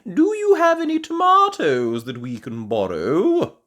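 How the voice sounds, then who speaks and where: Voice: mid-range voice, huge pitch swings, a little slow, bright, forceful tone, pitched above the performer's own voice, full character voice. The narrator voicing a kindly, polite old grandmother pig in a children's storybook, with a coaxing, sing-song manner.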